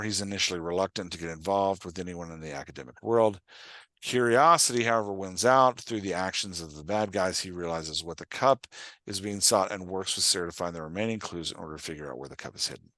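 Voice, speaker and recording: A man's voice: low voice, dry recording. Man coaching in a casual conversation, dry sound. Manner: animated explainer, acts out characters' lines, checks understanding often. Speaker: podcast host and writing coach.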